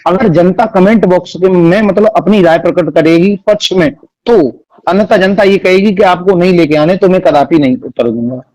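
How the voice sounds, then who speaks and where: Formal high-voiced man, very dry sound. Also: darkish tone, dry recording, dull audio. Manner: formal, measured, Sanskritised wording. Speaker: religious singer-storyteller interviewed by phone. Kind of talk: phone interview answers.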